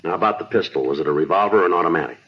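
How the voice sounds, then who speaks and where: An actor playing a terse, patient police sergeant, clipped, polite and deadpan, in a 1950s radio drama. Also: male voice, low voice, very fast. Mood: patient, businesslike. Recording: muffled, hissy 1950s radio recording.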